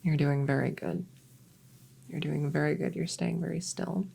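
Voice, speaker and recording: A woman playing a gentle, reassuring witch veterinarian in a soft-spoken ASMR roleplay, soothing and a little hesitant as she chats to her patient. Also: low-pitched, fairly even intonation, normal speed, gravelly, husky, exaggerated voice. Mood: reassuring, chatty. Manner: soothing, hesitant, chatty bedside manner.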